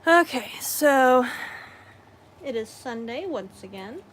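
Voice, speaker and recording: A woman livestreaming her crafting in casual, rambling chat, hoarse and losing her voice, often clearing her throat and correcting herself. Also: sits high, animated, takes it slowly. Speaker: craft streamer.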